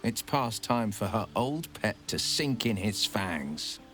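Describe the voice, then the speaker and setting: An actor playing a battle-seasoned heroic adventurer in a video game, with a theatrical storytelling delivery. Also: male voice, lowish voice, very animated, takes it slowly, fairly bright tone, faint music behind, exaggerated voice. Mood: determined, rousing. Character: heroic adventurer man, battle-seasoned monster hunter, warm comrade. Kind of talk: video game character dialogue.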